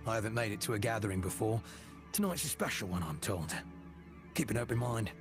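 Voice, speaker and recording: An actor playing a sincere, slightly defensive villager in a fantasy game, plain-spoken and insistent. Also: male voice, fairly low pitch, lively intonation, brisk, music in the background, lightly acted. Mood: earnest, defensive. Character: sincere, slightly defensive male villager, newly converted believer.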